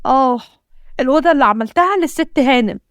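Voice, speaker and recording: A woman's voice: high voice, lively intonation, quick pace, dry recording, studio quality, voice pushed up. Woman putting on a bitter, wounded wife with an Egyptian accent. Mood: sarcastic. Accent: Egyptian Arabic. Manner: accusing, pleading questions. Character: hurt, bitter young wife.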